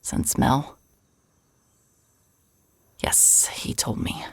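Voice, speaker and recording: An actress playing a stubborn, sarcastic, exasperated woman in a naturalistic audio drama, blunt and quick to swear. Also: no room sound. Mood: defensive, dejected.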